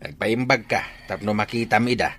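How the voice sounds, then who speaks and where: A man's voice: on the low side, brisk, a bit bright, a little hiss or hum, close to an everyday voice. A man acting as a concerned, emphatic father in an Ilocano radio drama. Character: animated, concerned father tending his sick child.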